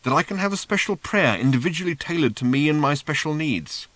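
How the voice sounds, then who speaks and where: A man's voice: expressive, fairly quick, a bit bright, dry recording. A man voicing a flustered, eager everyday man who keeps getting baffled, his questions anxious and pleading. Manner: anxious, pleading, jumps up in pitch.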